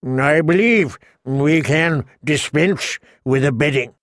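A stern older lord speaks with cold, measured authority, as a male reader voices him. His gravelly tone is recorded close and dry. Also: on the high side, no room sound.

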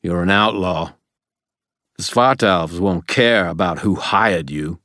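A man voicing a stern, bitter old wizard grandfather in an audiobook, pleading and scolding forcefully. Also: sits low, expressive, a little slow, dry recording, studio quality, full character voice. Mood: desperate, pleading.

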